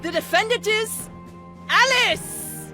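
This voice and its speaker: A woman's voice: very high voice, takes it slowly, sharp tone, faint music behind, exaggerated voice. A woman voicing an imperious, short-tempered storybook queen with a haughty, commanding manner. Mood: accusing, furious. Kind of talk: children's storybook cartoon acting.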